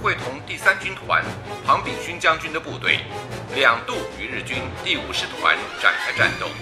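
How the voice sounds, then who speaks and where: An actor playing a 1930s wartime radio news announcer, reading a formal bulletin in a declamatory way. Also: male voice, a little high, sing-song, normal speed, music in the background. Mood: formal, urgent.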